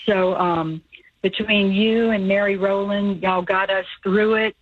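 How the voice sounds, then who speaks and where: A woman's voice: medium pitch, steady tone, measured pace. An older woman calling in to a radio show, telling her story in a chatty, easy way. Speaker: caller. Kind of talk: casual phone-in chat. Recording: phone line.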